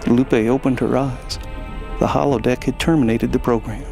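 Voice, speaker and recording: Guy narrating a story, crackly fry in his voice, music underneath. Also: mid-range voice, steady tone, normal speed.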